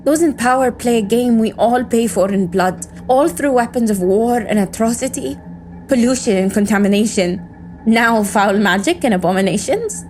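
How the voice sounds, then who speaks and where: A woman's voice: a little high, animated, moderate pace, darkish tone, a little hiss or hum, natural voice. A woman playing an embittered, defiant agent in an audio drama, with a world-weary, intense, sardonic delivery. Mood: weary, grieving. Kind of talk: scripted audio drama monologue and dialogue.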